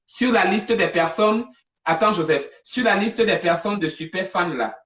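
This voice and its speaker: A woman hosting a casual live stream, talking in an animated, digressive, chatty way. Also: mid-range voice, moderate pace. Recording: distant from the mic.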